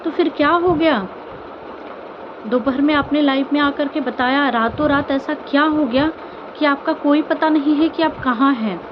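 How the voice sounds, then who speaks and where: High-voiced woman vlogger over muffled, noisy audio. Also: moderate pace. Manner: earnest, pleading, repeats phrases and rhetorical questions. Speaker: vlogger commenting on online family drama. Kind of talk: informal opinion commentary addressed to viewers.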